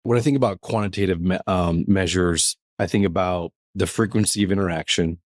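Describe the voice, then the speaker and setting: An upbeat man hosts a podcast with a lowish voice, chatting casually and leaning on fillers. The sound is dry and close to the mic. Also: fairly even intonation, brisk, no echo. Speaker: podcast host.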